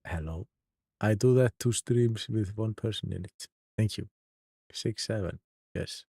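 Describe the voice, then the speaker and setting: A man's voice: fairly low pitch, a little slow, gravelly, mellow, breathy edge, no echo, studio quality. A man from Iceland with an Icelandic accent, a native Icelandic speaker, chatting casually on a live stream, reading out and greeting viewers one by one. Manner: reads chat aloud and greets viewers one by one.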